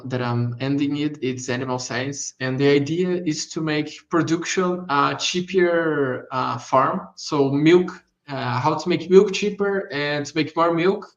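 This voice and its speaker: A young man in his twenties with a Portuguese accent, a non-native English speaker pitching his college project in a halting way, full of fillers and restarts. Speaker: presenter at demo day. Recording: dull lo-fi sound.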